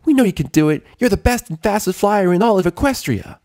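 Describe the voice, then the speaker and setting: Man putting on a squealing, bubbly girl pony, very high. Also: brisk. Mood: excited, cheering. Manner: squealing, excitable, exclaiming. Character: boisterous, bubbly party-loving young female cartoon pony.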